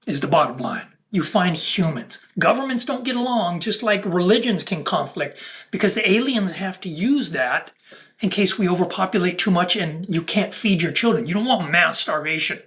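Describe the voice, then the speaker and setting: Mid-pitched voice, preachy, emphatic, fragmented phrasing, unscripted monologue. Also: animated, moderate pace, fairly bright tone. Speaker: content creator.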